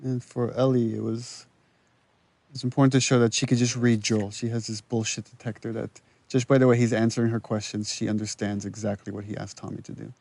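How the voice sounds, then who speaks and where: A man's voice: mid-range voice, level tone, brisk, creaky, darkish tone, dry recording. A man casually explaining characters and scenes from a video game as a commentator. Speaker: commentator on a game's cutscenes.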